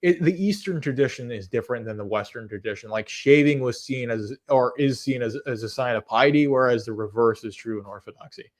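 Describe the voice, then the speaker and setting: A young man hosting a casual live stream, talking at a middling pitch for a man. He rambles, stops and restarts often, and fills gaps with ums as he answers chat. His tone stays earnest, with a soft amusement at the jokes. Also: brisk, hardly any pauses. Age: 20s. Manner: rambling, hesitant, many fillers and restarts. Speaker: livestream host.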